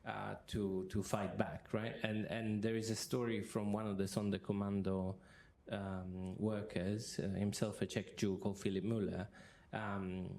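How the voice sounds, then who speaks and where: Low flat-voiced male interviewer. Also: measured pace, slight background noise. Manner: hesitant, many fillers and restarts. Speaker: interviewer, history professor. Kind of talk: moderating a public interview. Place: university hall with an audience.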